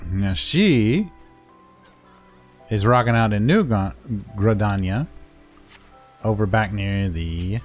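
Guy w lowish voice doing a goofy casual game walkthrough, bit of music in the background. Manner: playful, informal, voices paraphrased dialogue and silly sound words. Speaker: gaming video creator giving a quest guide. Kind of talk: casual, jokey walkthrough commentary over gameplay.

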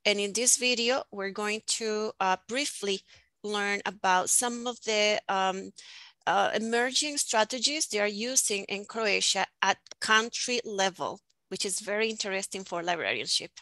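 A middle-aged woman hosting a panel with a prepared, formal presentation in a measured, deliberate manner, speaking into a headset. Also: medium pitch, pushed and bright, dry recording. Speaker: panel host and moderator. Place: indoors on a video call, virtual background.

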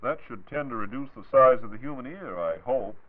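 A man's voice: mid-range voice, expressive, brisk, crowd noise. An American man hosting a scripted 1940s radio show in a droll, theatrical way with ornate wording. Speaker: radio host. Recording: tinny, phone-like 1940s radio recording.

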